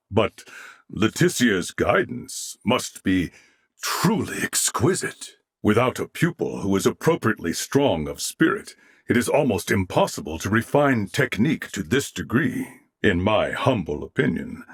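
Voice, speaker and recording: Slow, courtly, honorable swordsman. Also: lowish voice, breathy edge, no echo, studio quality, heavily acted. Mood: earnest admiration. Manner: formal, archaic, measured diction. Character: courteous, honorable swordsman, calm, earnest, formal. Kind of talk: scripted video game character dialogue.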